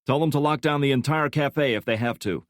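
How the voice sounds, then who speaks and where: A man's voice: mid-range voice, brisk, no room sound, studio quality, pitched above the performer's own voice, lightly acted. A man playing a commanding middle-aged section leader who barks clipped orders. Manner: barking orders, clipped and forceful.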